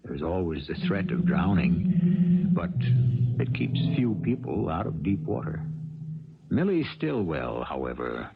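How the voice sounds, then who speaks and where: Man, gravelly low voice, theatrical ominous narration, 1970s radio host, loud music behind. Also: very animated, a little slow. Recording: muffled 1970s radio broadcast. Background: prominent music.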